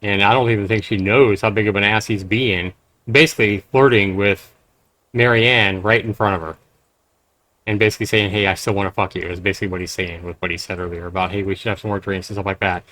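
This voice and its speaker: A man's voice: lowish voice, steady tone, fast, pushed and bright, no room sound, rough recording. A man streaming a game, reading its text aloud and adding blunt, profane casual commentary.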